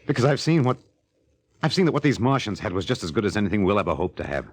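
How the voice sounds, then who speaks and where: An actor with an American accent playing a bitter, disillusioned astronaut turned killer in a 1950s radio drama, intense and halting. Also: male voice, on the low side, sing-song. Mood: bitter, regretful. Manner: intense, halting, broods aloud. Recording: old 1950 radio recording.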